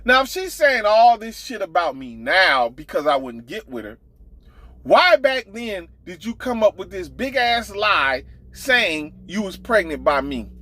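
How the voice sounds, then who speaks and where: Animated, blunt man ranting, unhurried pace. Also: high voice. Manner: blunt, profane, exasperated. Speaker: online storyteller and commentator. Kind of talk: casual gossip rant.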